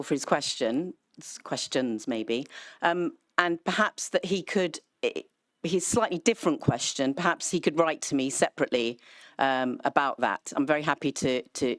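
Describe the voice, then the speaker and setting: A councillor giving formal answers at a council meeting, hesitant, with many fillers and restarts. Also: female voice, deep voice, no echo.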